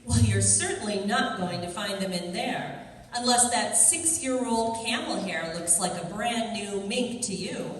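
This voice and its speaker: A woman's voice: medium pitch, unhurried, natural voice. A woman playing a sassy, defiant young woman who is quippy and indignant, in an old-time radio detective drama. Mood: dry, sassy. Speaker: amateur radio theatre actor. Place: live stage performance in a large room. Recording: very echoey hall from far off, faint noise.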